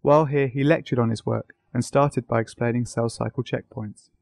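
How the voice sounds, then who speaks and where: A man's voice: mid-range voice, steady tone, moderate pace, creaky, a little mellow, no room sound. A man narrating a science video from a script as interviewer and presenter.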